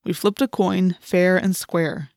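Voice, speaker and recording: Audiobook team leader, teenage boy played by a woman: calm, firm, decisive, lowish pitch. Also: slow pace, no room sound, clean studio sound. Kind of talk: audiobook character voice.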